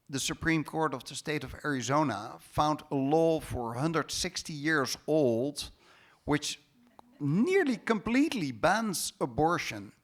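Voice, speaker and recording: A man's voice: a little high, fairly bright tone. A man from the Netherlands with a Dutch accent, speaking as a panelist at a conference with emphatic, sarcastic remarks. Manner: sarcastic, emphatic, with ironic mock quotes. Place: conference hall stage.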